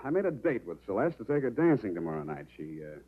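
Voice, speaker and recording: An American actor playing a cool, wisecracking private detective in a wry, clipped 1940s radio noir style. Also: male voice, on the low side, big pitch swings, quick pace, slightly rough. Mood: sly, teasing. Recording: muffled vintage radio broadcast, background hiss.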